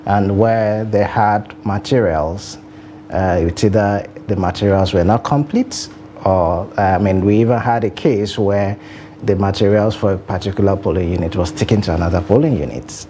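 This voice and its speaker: Low mellow-voiced man, halting. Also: a little hiss or hum. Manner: halting, restarts. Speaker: panel guest. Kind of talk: panel discussion.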